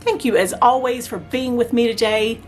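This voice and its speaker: A woman hosting a craft review vlog, chatty and enthusiastic, talking straight to her viewers while she is hoarse and losing her voice. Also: a little high, normal speed, soft background music. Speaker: craft channel host.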